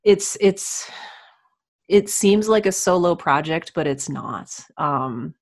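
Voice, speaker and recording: A woman's voice: deep voice, lively intonation, slow pace, dry recording, studio quality. A woman speaking earnestly and appreciatively as a podcast guest in a conversational interview. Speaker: podcast guest, writer and audio drama producer.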